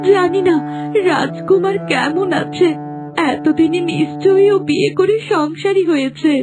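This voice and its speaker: A woman playing a gentle, sorrowful young woman turned lotus flower in a fairy-tale cartoon, tender and plaintive. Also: very high-pitched, measured pace. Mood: wistful. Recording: music behind the voice.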